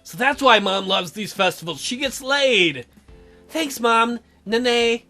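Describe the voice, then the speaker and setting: Woman, let's play commentary, sarcastic, bright animated voice, faint music. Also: on the high side, slow. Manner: sarcastic, playful jokes. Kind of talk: reacting while reading a game aloud.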